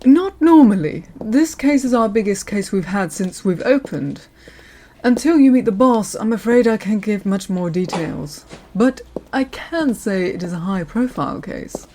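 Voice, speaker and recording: A woman playing a courteous senior lawyer in an audio roleplay, speaking in a polite, measured, professional way to the listener. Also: mid-range voice, lively intonation, dark tone. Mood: polite, businesslike. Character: courteous senior female lawyer showing a newcomer around.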